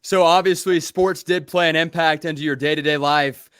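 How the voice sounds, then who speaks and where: A man's voice: a little high, fairly even intonation, moderate pace, a bit bright, clean tone, no echo, studio quality. A man hosting a podcast, asking formal leading questions and recapping what his guest has said. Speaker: podcast host. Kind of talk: scripted interview questions.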